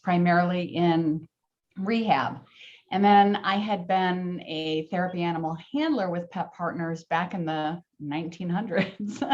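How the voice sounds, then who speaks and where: Woman in her fifties from the united states, friendly, slightly low voice, muffled call sound. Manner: friendly, laughs between phrases. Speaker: occupational therapist and lecturer. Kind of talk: video meeting introduction. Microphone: video call. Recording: dull lo-fi video call audio.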